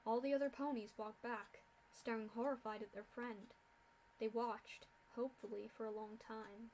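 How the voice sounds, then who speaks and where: A young woman narrating a fan-fiction story aloud in a steady reading voice. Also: a little high, moderate pace, a little hiss or hum, rough recording. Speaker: fanfiction reader.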